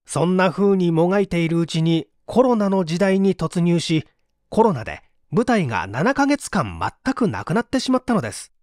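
An audiobook narrator reads scripted prose in clearly phrased, measured sentences. The pitch moves up and down in a lively way, and the sound is dry, free of room echo. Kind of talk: scripted book reading.

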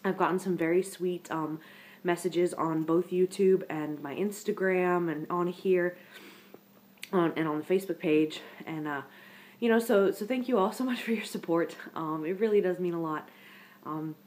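A woman's voice: lowish voice, moderate pace, darkish tone. A young woman with a congested head-cold voice, chatting to her webcam in a casual vlog update from her living room couch. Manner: chatty, rambling, talks straight to viewers. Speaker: vlogger. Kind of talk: casual pregnancy update to camera.

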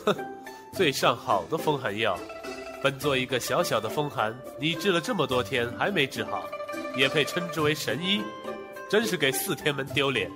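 An actor playing an imperious, mocking sect master in a Chinese period audio drama. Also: male voice, mid-range voice, expressive, moderate pace, over music, natural voice. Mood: scornful. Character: haughty, sardonic male sect master of ancient China.

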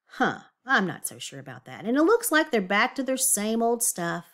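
A woman's voice: a little high, sing-song, normal speed, fairly bright tone. A woman reading a picture book aloud to young children, expressively, with emphatic words and confiding asides and questions. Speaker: children's read-aloud teacher.

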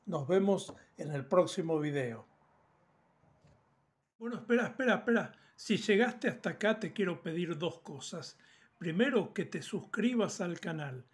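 A man's voice: sits high, expressive, a little slow. A man, giving an informal, didactic tutorial straight to the viewer as a channel host. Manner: didactic, addresses viewer directly. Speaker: amateur radio channel host. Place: small indoor room at home. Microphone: phone at arm's length.

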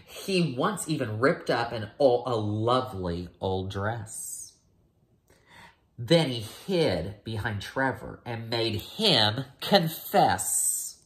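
A man's voice: mid-range voice, big pitch swings, takes it slowly, fairly bright tone. An American man in his thirties, a teacher reading a picture book aloud with casual asides. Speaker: teacher reading to first graders. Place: small room at home. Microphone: phone or laptop at arm's length.

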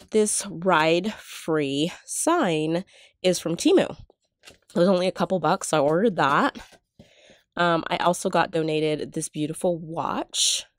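A woman's voice: fairly low pitch, dry recording. A middle-aged woman with a cold and a congested voice, chatting through a casual step-by-step gift-basket tutorial at home. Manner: chatty, explains step by step with fillers. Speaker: couponing vlogger. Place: home, filming a tabletop close-up.

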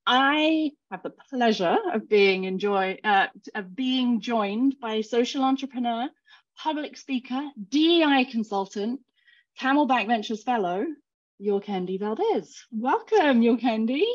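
A woman's voice: a little high, expressive, unhurried. A woman hosting an interview over a video call with a headset, moving from scripted introductions into easy conversation. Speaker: host. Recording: slightly dull video call audio.

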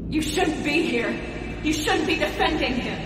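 An actress playing a vengeful, fanatical masked villain in a video game, theatrical, mocking and full of menace. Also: female voice, high voice, lively intonation, normal speed, noisy background. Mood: scornful. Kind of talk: dramatic video game acting. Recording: echoing stone tunnels, distant, music behind.

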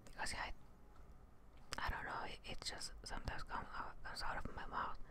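A young woman giving ASMR game commentary in a whisper, hesitant and softly muttering to herself, close to the mic. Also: moderate pace. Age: young adult. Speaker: gaming streamer. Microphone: close studio mic, seen in front of her.

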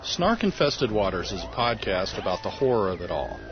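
A man's voice: on the low side, very animated, normal speed. A man who hosts a podcast, reading a scripted promo in a tongue-in-cheek manner. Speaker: podcast host. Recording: lo-fi promo under loud music.

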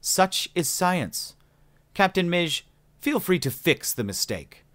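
A man reading an audiobook, voicing a calm, condescending male alien scientist with polite, mocking, unhurried speech. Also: sits high, dry recording, pitched above the performer's own voice, heavily acted. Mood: irritated, lecturing.

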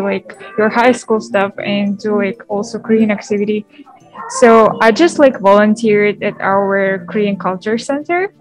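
A woman's voice: medium pitch, poor audio. A young woman from Kazakhstan answering on a video call, eager and peppering her talk with "like". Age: young adult. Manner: eager, filled with "like". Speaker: interviewee, student.

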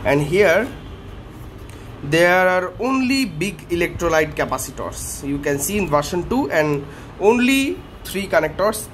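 Man, tech host, unhurried halting delivery, higher-than-average voice, step-by-step teardown narration, noisy indoor recording. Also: big pitch swings, fairly bright tone. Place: small indoor workshop room.

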